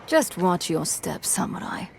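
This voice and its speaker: An actress playing a tough, scornful raider chief in a game cutscene, curt, mocking and authoritative. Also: female voice, fairly low pitch, very animated, unhurried, vocal fry, whispered, exaggerated voice. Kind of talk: video game cutscene acting.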